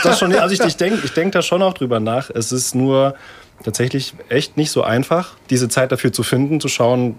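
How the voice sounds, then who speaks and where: A male choir director talks through an interview in a relaxed, searching way. He pauses, restarts his sentences and laughs now and then. His voice sits at an ordinary middle pitch. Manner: thoughtful, hesitant, laughs often. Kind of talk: casual interview talk.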